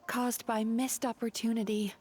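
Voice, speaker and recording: A soft, earnest young psychologist from a video game voiced by a woman, with a notably high voice. She speaks in measured, reflective phrases, gently and sincerely. Faint music sits underneath. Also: little pitch movement, lightly acted. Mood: tender, hopeful. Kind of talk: scripted video game character acting.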